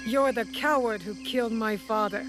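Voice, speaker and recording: A woman's voice: on the high side, normal speed, natural voice. A woman playing a determined, vengeful young shinobi in a game cutscene, speaking in a terse, resolute way. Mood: cold anger. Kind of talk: dramatic video game cutscene acting.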